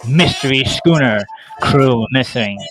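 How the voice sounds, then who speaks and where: A man's voice: mid-range voice, expressive, slow, a bit bright, low-quality recording. A man narrating a horror play, reading stage directions in a terse, theatrical way.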